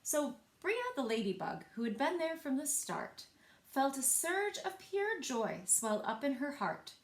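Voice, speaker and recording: Lady doing storytime for kids, high animated voice, takes her time, kinda echoey. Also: a little slow. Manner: animated, with asides to young listeners. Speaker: library storyteller. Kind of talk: expressive children's picture-book read-aloud. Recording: roomy, echoing sound.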